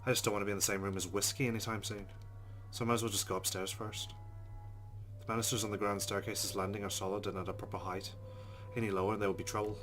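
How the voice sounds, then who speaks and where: A man's voice: fairly low pitch, flat delivery, quick pace, music in the background. A man hosting a let's play, reading a visual novel's narration aloud steadily and adding dry asides.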